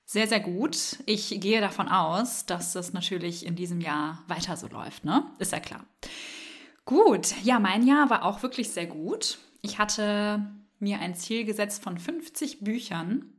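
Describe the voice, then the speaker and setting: A woman's voice: medium pitch, expressive, unhurried. A woman co-hosting a book podcast in casual chat, friendly and enthusiastic. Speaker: podcast co-host.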